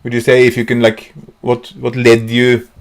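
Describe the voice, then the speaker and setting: A man's voice: mid-range voice, completely flat delivery, normal speed. Rambling man hosting an interview. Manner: rambling, stumbling questions. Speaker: host. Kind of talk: casual interview.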